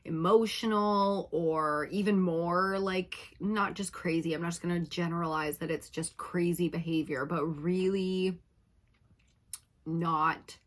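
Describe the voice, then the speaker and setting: A woman reading tarot for her viewers in a casual, chatty way, her voice slightly raspy from hours of talking, often checking in with "okay?". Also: fairly low pitch, fairly even intonation, measured pace. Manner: chatty, laughing, uses filler like "okay?". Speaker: tarot reader.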